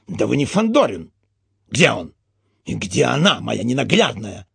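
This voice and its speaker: A man's voice: medium pitch, very animated, unhurried, creaky, a bit bright, husky, voice pushed down, exaggerated voice. A man voicing a theatrical con man who poses as a wronged aristocratic husband, melodramatic and declamatory. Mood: indignant anguish.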